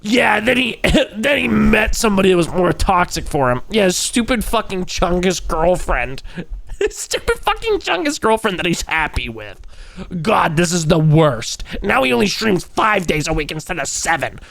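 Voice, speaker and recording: A young man streams with a stuffy, nasal voice from a cold, his pitch bouncing around as he jokes and reacts. His delivery is loose and playful, and he talks straight to his chat. His voice sits close and dry on the microphone. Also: moderate pace, gravelly, no echo. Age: 20s. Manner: joking, animated, laughs often, talks to chat. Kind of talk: live-stream reaction commentary.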